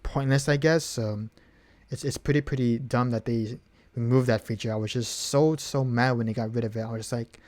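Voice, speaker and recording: A man's voice: mid-range voice, fairly even intonation, a little fast, a little mellow. Rambling male streamer, casual chat. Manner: rambling, repeats words, many fillers. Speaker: streamer taking song requests.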